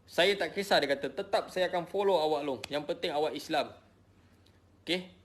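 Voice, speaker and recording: A man's voice: on the high side, moderate pace. A middle-aged man from Malaysia with a Malaysian Malay accent, tired, defensively explaining himself to followers and repeating his points. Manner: emotional, repetitive, defensive. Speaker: social media personality. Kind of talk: personal explanation to followers, casual.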